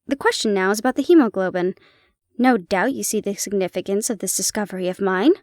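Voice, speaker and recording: A woman reading the part of an excitable male detective, exclaiming with fervor. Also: mid-range voice, quick pace, dry recording, studio quality, natural voice. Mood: cordial. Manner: fervent, exclaiming.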